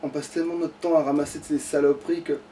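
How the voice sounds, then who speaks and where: Casting: male let's player, high-pitched, exasperated and foul-mouthed, casual running commentary, recorded off-mic. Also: little pitch movement, fast talker, warm tone. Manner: sweary, exasperated, rambling to himself. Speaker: let's play gamer. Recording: distant mic with light background noise.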